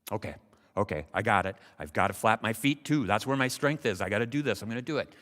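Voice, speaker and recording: A man voicing an earnest young frog who longs to fly, speaking in a halting, modest, plain way. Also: fairly low pitch, wildly swooping pitch, husky, exaggerated voice. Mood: determined. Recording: live hall with long reverb.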